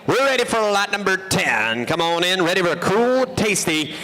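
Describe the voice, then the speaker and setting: A man announcing the next lot at a horse sale and pitching it to buyers in an upbeat way. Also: very animated, normal speed, sharp tone. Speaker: ringman announcer. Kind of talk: sale announcing and sales talk. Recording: long hall reverb.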